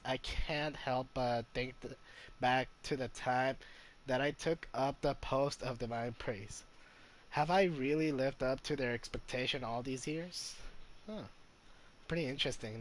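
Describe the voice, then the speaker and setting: A man doing informal gameplay commentary and reading game text aloud, stumbling over words now and then. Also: medium pitch. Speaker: gaming video host, player.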